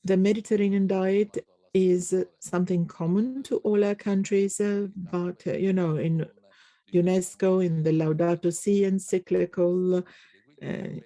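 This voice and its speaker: A conference interpreter giving a simultaneous English rendering of a panel discussion, halting often with fillers and pauses. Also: medium pitch, steady tone, dark tone, dry recording.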